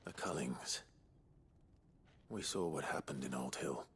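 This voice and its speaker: A brooding, deep-voiced swordsman. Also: measured pace, slightly rough, heavily acted. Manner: low, quiet, often whispered, restrained. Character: brooding young male swordsman, grim and resolute. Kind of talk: video game cutscene acting.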